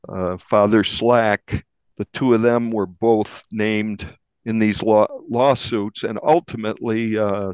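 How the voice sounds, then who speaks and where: Guy reporter being interviewed, resonant voice, measured and halting, dry kinda dull sound. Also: fairly low pitch, clean tone, no room sound. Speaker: newspaper reporter interviewed as guest. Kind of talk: explanatory interview talk about a crime story.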